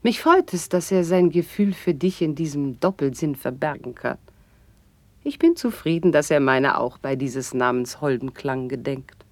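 A woman's voice: sits low, lively intonation, normal speed, dry recording. An actress playing a graceful, witty noblewoman and confidante, declaiming formal verse lyrically in a classical radio drama. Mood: animated, frank.

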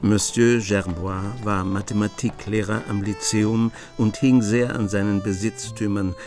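An actor narrating a radio play and playing a curious writer, with calm, measured storytelling. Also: male voice, fairly low pitch, soft background music, natural voice. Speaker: narrator and author figure.

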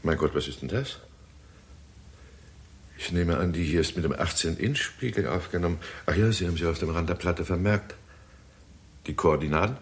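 An actor playing a brisk, courteous leading astronomer in a 1960s German radio drama. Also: male voice, sits low. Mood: absorbed, puzzled. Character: Doctor Marlow, brisk leading astronomer at observatory. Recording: 1960s radio recording with background noise.

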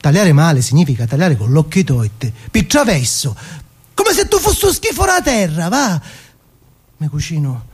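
Man acting naive Sicilian outcast, high voice, swooping intonation, childlike, tender, halting storytelling, radio drama monologue. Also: huge pitch swings, slightly rough, exaggerated voice. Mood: plain, reflective. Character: naive, tender, marginalised Palermo man recalling his childhood.

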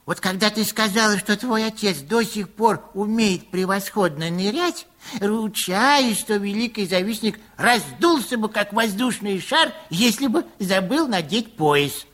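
A male actor playing a kindly, eccentric pharmacist who schemes excitedly, stammering and repeating himself in an old radio play. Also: high voice, a little slow. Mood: scheming, eager. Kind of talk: 1960s Soviet radio play acting. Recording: old radio drama with music.

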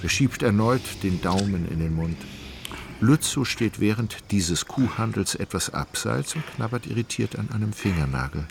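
A man's voice: fairly low pitch, lively intonation, normal speed, gravelly, a little hiss or hum. A man reading scripted literary narration as the narrator of a radio drama.

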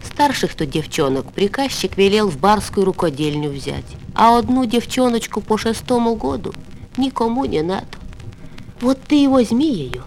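Man acting a high-pitched, blunt gossipy villager. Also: very animated, moderate pace, a little mellow, a little hiss or hum, rough recording. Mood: matter-of-fact. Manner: folksy, matter-of-fact, complaining. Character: gossipy, blunt village neighbours and grumbling housewife. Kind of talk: storybook fairy tale reading.

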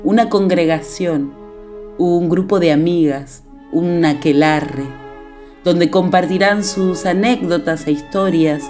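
A woman's voice: lowish voice, slow, a little mellow, faint music behind. A woman delivering scripted narration for a radio play, as its narrator.

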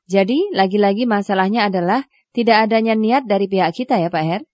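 A young woman hosting a business radio show, reading formal introductions and questions in a smooth, measured way. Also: medium pitch, a little mellow, no echo, dull audio. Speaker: radio host. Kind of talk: scripted radio presenting. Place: radio studio.

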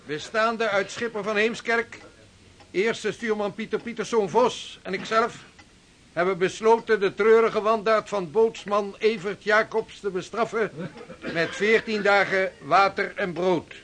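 A man's voice: high voice, fairly even intonation, unhurried, a bit bright, slight background noise. A man playing a severe, formal ship's officer in a radio drama, speaking with declamatory authority. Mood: formal, stern.

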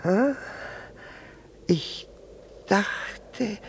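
A flustered, jealous middle-aged husband in a radio drama, fussily polite and stammering. His pitch swings up and down as he hesitates and repeats words, over clearly audible background noise. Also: lively intonation, extremely slow, exaggerated voice. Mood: nervous, embarrassed. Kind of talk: German radio play acting.

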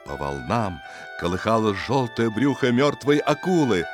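A man reads a story as an audiobook narrator, in an expressive, suspenseful storytelling manner. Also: on the low side, measured pace. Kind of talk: dramatic scripted story narration. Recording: music behind the voice. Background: music.

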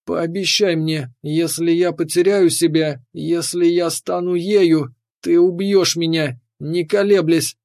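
A man's voice: fairly high pitch, steady tone, takes it slowly, creaky, hardly any pauses, darkish tone, smooth, no room sound, clean studio sound. A man voicing an anguished young woman soldier in an audiobook, pleading, tearful and strained as she fights for her mind. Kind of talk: dramatic audiobook character voice.

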